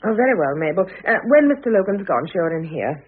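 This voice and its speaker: British lady of the house in old radio play, husky, dry and bossy, tinny narrow sound. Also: mid-range voice, sing-song. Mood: brisk, commanding. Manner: brisk, commanding, drily ironic. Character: composed, dry-witted mature mother, mistress of the house. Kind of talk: old-fashioned radio drama acting. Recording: thin phone-like vintage radio sound.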